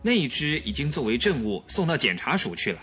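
An earnest police chief, kinda puzzled, animated up n down voice, radio play. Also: male voice, mid-range voice, brisk, soft background music. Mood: puzzled, doubtful. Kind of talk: radio drama acting.

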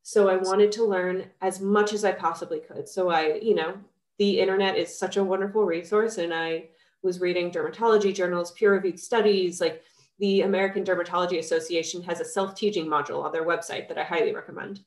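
A young woman with a cold speaks in a somewhat low, nasal voice. She talks eagerly and casually, full of "um"s and "you know"s. The sound is roomy and echoey. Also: fairly even intonation, brisk. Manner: chatty, enthusiastic, many fillers. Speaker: skincare founder, guest. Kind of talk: casual podcast interview.

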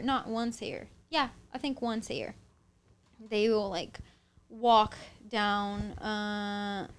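Slow, smooth-voiced woman from Brazil. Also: a little high. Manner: searches for words, explains carefully. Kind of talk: casual chat.

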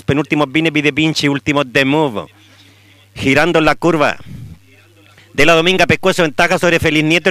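Chilean race caller, rapid call, crisp and a little high. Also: male voice, no echo. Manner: rapid, rising to excited calls at the finish. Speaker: horse racing announcer. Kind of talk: live race commentary.

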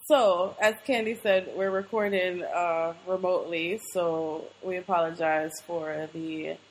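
A woman's voice: fairly low pitch, fairly even intonation, a little slow, low-quality recording. A young woman co-hosting a podcast over a video call, laid-back with dry humor. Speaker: podcast co-host. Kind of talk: casual chat.

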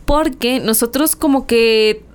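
A woman's voice: fairly high pitch, slow pace, smooth. A woman, a guest on a podcast, chatting casually and agreeing readily, with plenty of slang. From Mexico.

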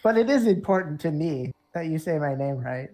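Woman putting on a low-pitched, earnest nobleman. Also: lively intonation, fairly quick, warm tone. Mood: miffed, earnest. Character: dutiful, trusting nobleman heir, fussy about his name.

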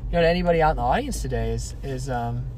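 A man's voice: medium pitch, very fast. Young man rehearsing a speech, hesitant with many fillers, recorded from a distance outside. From San Francisco Bay Area, California. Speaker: app founder practicing a speech. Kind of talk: rehearsed speech practice, casual. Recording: distant mic, loud traffic noise.

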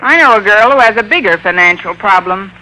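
An American actress playing a wry, long-suffering schoolteacher with dry, sardonic delivery in an old-time radio comedy. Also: female voice, medium pitch, expressive, a little fast, pushed and bright, poor audio. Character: wry, wisecracking adult woman schoolteacher, lovelorn and long-suffering. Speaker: lead actress and narrator. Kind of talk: 1940s-50s radio comedy acting. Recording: vintage radio recording with faint noise.

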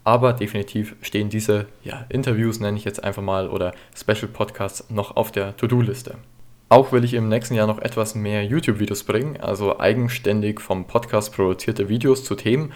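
A nineteen-year-old man hosting a history podcast, answering listener questions in a relaxed, chatty way with frequent fillers. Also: on the low side, a little fast.

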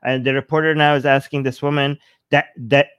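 A man's voice: medium pitch, level tone, a little fast, fairly bright tone, clean tone, dry recording, studio quality. A man hosting a livestream reaction show, thinking aloud and reading subtitles out to viewers. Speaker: show host.

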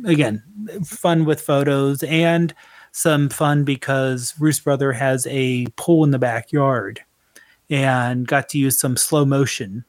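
A man's voice: mid-range voice, no echo. A middle-aged man co-hosting a casual podcast chat, rambling a little and correcting himself as he goes. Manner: rambling, self-correcting, chuckles often. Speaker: podcast co-host.

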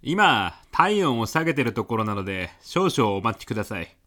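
A man's voice: mid-range voice, very animated, moderate pace, sharp tone. A man playing an insistent, argumentative, eccentric businessman with absurd logic in a comedic radio drama. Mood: stubbornly earnest. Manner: insistent, matter-of-fact, argumentative.

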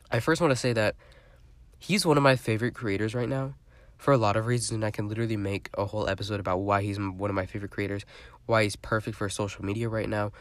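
Congested young man, low voice. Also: dry recording. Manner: rambling, chatty, lots of fillers. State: congested, stuffy voice. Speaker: podcast host. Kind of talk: casual solo podcast monologue.

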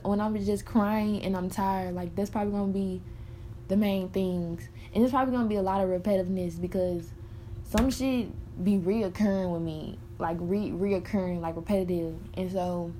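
A woman's voice: medium pitch, fairly even intonation, moderate pace, warm tone, a little hiss or hum. A woman in her early twenties, talking candidly and frankly in a personal monologue, swearing freely and rambling with many fillers. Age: early 20s. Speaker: podcaster.

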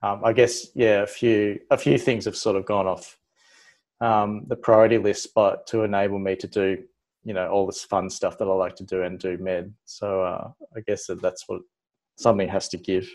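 Australian man in his thirties, low-pitched voice, easygoing interview guest who meanders and restarts. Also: moderate pace. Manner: relaxed, rambling, restarts and "like" fillers. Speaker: guest, ophthalmology registrar. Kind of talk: casual interview chat.

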